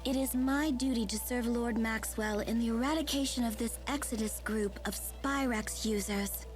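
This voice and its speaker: A woman's voice: fairly high pitch, steady tone, normal speed, soft background music, natural voice. A woman voicing a haughty, airy female great spirit and protective big sister in a dubbed game cutscene, speaking in a languid, lofty way.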